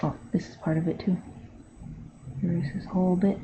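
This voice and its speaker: Chatty woman, slightly low voice. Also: little pitch movement, normal speed, mellow. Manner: chatty, laughs often, talks to herself while working. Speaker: digital artist livestreaming. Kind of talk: casual chat while drawing. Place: bedroom at home. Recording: dull lo-fi stream audio with faint hiss.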